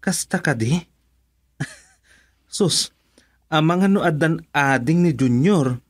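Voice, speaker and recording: Jovial husband a few drinks in, Ilocano accent, unhurried drawl, radio drama. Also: male voice, a little high, expressive. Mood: teasing, caring. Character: easygoing, tipsy husband home late from drinking.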